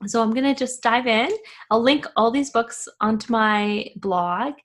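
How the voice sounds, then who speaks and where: A woman's voice: on the high side, a little slow. A woman from Afghanistan hosting her own podcast, talking casually and reflectively with many fillers and restarts. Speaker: podcast host. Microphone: camera video, facing the screen.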